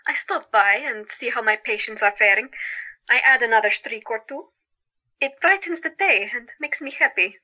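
Audio drama: actress as colorful 1917 woman doctor, playful, exclaiming, lively pitch, sharp bright tone. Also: moderate pace, lo-fi sound, full character voice. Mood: cheerful, modest. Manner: animated, exclaiming.

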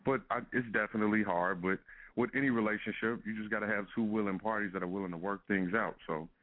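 Deep-voiced man on a phone line. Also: fast talker. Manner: laid-back, laughs often, fillers like "you know what I'm saying". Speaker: music artist guest. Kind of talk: casual phone interview. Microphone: telephone. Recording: phone-line call-in audio.

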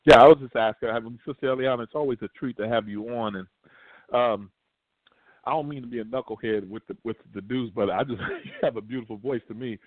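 Man on a call-in show, halting, lots of ums, phone-line sound. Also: mid-range voice, no room sound. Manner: halting, many fillers, laughs easily. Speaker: call-in participant. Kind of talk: casual talk-show chat.

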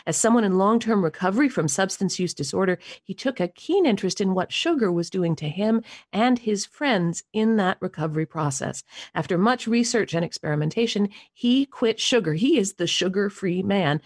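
Mature woman hosting a radio show, lowish voice, pretty dry close sound. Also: no echo. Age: middle-aged or older. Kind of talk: scripted introduction and interview.

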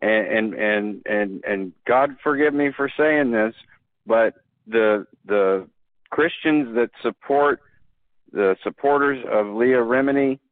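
A man's voice: mid-range voice, slow pace, no room sound. A man talking as a podcast guest, earnest and emphatic, often restarting his sentences. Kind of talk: casual conversation. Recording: dry phone-like line.

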